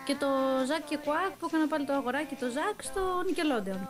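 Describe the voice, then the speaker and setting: A young woman chats casually in an interview as a voice actor, telling things in an animated, slangy way with English words dropped in. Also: sits high. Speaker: voice actor and dubbing singer being interviewed. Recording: voice with music playing clearly behind. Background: music.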